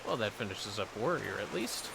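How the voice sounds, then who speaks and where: Man, low gravelly voice, lively intonation, casual game commentary, a little tired, muttering to himself. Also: normal speed, noisy background. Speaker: game streamer. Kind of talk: casual livestream commentary while playing.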